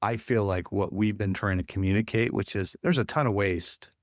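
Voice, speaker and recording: A man's voice: lowish voice, moderate pace, dry recording, clean studio sound. A man hosting a podcast, upbeat and friendly, often restarting his sentences as he introduces a guest. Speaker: podcast host. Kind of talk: casual interview chat.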